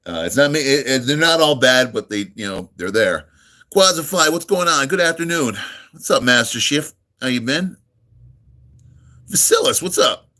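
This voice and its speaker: A man's voice: medium pitch, expressive, moderate pace, pushed and bright. A middle-aged man from the United States, near New York, chatting casually on a live stream, greeting viewers and answering their messages in a friendly, rambling way full of ums and uhs. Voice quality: full radio-style voice.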